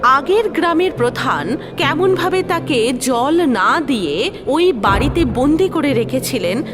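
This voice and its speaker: A woman narrates a children's cartoon tale in a high, animated voice. Her delivery is expressive and scripted, with music clearly underneath. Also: a little slow. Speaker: storyteller, narrator.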